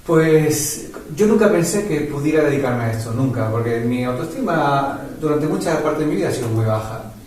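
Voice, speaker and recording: A man in casual interview chat, warmly affirming and telling his own story. His voice is mid-pitched and sounds off-mic and distant. The room is very echoey with faint ambience. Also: expressive, normal speed, few pauses. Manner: affirming, enthusiastic backchannels. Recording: distant mic with heavy echo.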